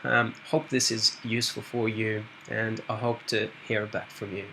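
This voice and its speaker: A middle-aged man from Switzerland introducing his work as a spiritual medium in a calm, measured way with occasional hesitations. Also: on the low side, vocal fry, a little hiss or hum, rough recording. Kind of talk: direct-to-camera self-introduction.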